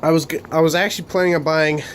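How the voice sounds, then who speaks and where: A young man hosting a casual room tour vlog of his bedroom studio, talking haltingly with many fillers and restarts while coming down with a cold. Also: on the high side, fairly even intonation, a little hiss or hum. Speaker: Lego channel host.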